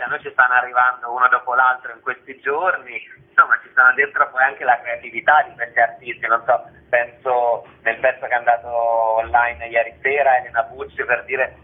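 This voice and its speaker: Man on a tinny phone line. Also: medium pitch. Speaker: theatre festival organiser being interviewed. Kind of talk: live radio interview, spontaneous explanation.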